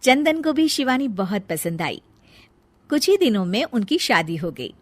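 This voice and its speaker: Woman telling a cartoon story, lively pitch. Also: measured pace, dry recording. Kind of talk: scripted narration of a cartoon story.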